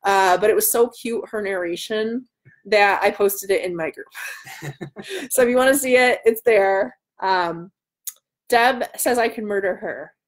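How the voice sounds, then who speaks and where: A woman in her thirties hosting a casual live stream from home, loud, excitable and bantering as she answers comments. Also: medium pitch, unhurried. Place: room at home by a large window.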